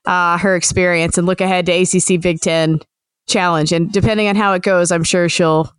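Smooth-voiced woman hosting a podcast. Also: lowish voice, fairly even intonation, fairly quick, no echo. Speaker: podcast host. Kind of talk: casual chat.

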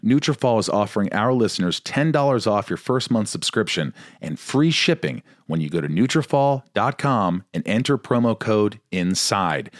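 Low-voiced man reading an ad, dry. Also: no echo. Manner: steady promotional read. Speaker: podcast host reading an ad. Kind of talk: host-read advertisement.

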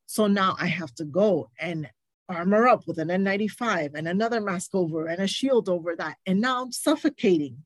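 A woman's voice: on the low side, fairly quick, no room sound. A woman speaking as a nurse guest in a candid interview, emphatic and indignant, repeating points for stress. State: recovering from covid, stuffy.

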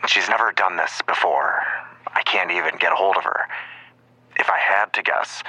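A man playing a worried, protective insider in an audio drama, talking in an earnest, cautious, hushed way. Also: low voice, no room sound, exaggerated voice, low-quality recording.

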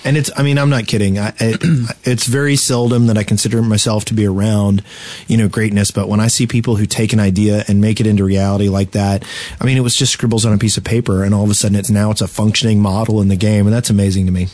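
A man's voice: on the low side, steady tone, fast, mellow, no echo. A man co-hosting a podcast in a studio, talking in casual, joking banter. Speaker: podcast co-host.

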